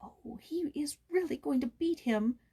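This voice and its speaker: A woman voicing a fearless, daring young girl in a storybook, breathy and dramatic. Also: sits high, measured pace, warm tone, voice pushed up. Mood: horrified.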